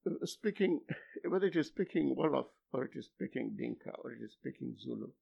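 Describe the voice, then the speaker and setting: An older man, a philosophy professor whose first language is Luo, speaking haltingly in an interview, then pressing with emphatic rhetorical questions. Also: medium pitch, lively intonation, vocal fry. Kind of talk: academic interview. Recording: dull lo-fi recording.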